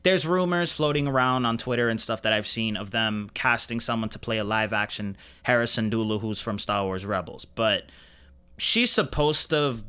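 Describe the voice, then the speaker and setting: A man's voice: fairly low pitch, normal speed, pushed and bright, clear, resonant tone. A man co-hosting a podcast, chatting casually about pop culture in an opinionated, emphatic, slangy way. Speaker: podcast co-host.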